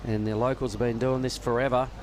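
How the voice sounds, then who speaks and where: A man giving relaxed, knowledgeable live surf contest commentary from a judging tower. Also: medium pitch, fast. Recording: noisy outdoor ambience with traffic.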